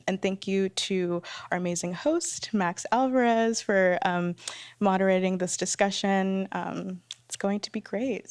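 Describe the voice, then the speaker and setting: A woman's voice: fairly low pitch, clean tone, no room sound, clean studio sound. A woman opening a live event with casual, filler-heavy welcoming remarks and thanks. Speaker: event organizer.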